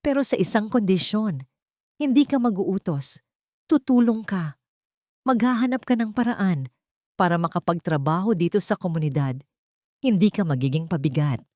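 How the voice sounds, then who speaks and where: A woman's voice: medium pitch, animated, normal speed, long pauses, warm tone, dry recording, clean studio sound, lightly acted. Woman playing a firm, caring drama heroine.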